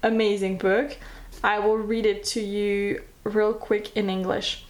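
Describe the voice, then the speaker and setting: A woman's voice: mid-range voice, level tone, measured pace, poor audio. A woman with a French accent, a native French speaker, casually recommending books and reading back-cover blurbs aloud in an enthusiastic, chatty way. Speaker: book vlogger.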